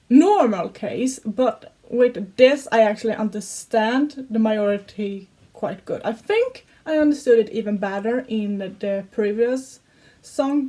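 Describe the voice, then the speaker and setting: Swedish girl chatting, kinda high voice, slow and giggly, bit broken english. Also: dark tone. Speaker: YouTuber reacting to songs. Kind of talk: casual reaction vlog.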